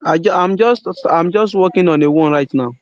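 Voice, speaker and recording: A man's voice: sits high, moderate pace, dry recording. A man asking for advice on a call, giving short and hesitant answers. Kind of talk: casual chat on a call. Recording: dry, lo-fi call audio.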